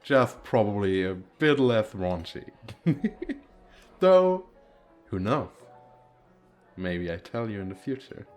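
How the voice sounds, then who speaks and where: A man playing a shy, playful single dad on a speed date in an ASMR roleplay, talking casually with hesitant, self-deprecating, halting phrasing. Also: on the low side, very animated, darkish tone, faint music behind. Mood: playful, teasing.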